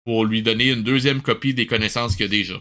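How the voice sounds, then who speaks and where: A man's voice: on the low side, steady tone, fast, bright, forceful tone. A man with a Québécois accent doing sarcastic, exasperated comedic commentary as a review host.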